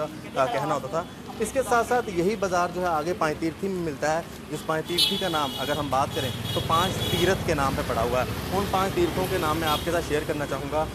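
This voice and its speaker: Earnest male street reporter in his thirties, a little high, handheld mic, street noise behind. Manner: filler-heavy, earnest. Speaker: reporter host. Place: narrow old-city bazaar street. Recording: noisy outdoor street.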